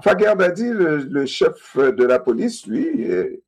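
A man in a discussion, talking in an animated way, repeating himself and asking rhetorical questions. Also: on the high side, slow, slightly rough.

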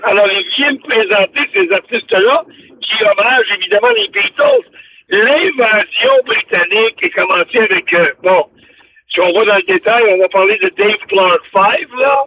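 A man's voice: sits high, lively intonation, normal speed, far from the mic, muffled recording, a little hiss or hum. An older man, past sixty, with a Quebec French accent, chatting on radio in a rambling, exclamatory way. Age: 60s or older. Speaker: radio show host. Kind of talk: casual reminiscing chat on radio.

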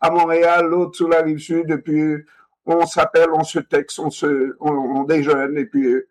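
A man in a discussion, talking in an animated way, repeating himself and asking rhetorical questions. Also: on the high side, moderate pace, poor audio.

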